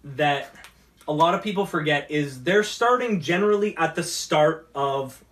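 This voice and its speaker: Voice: mid-range voice, lively intonation, unhurried. A talk show host chatting casually about games and films in an agreeable way, often chiming in.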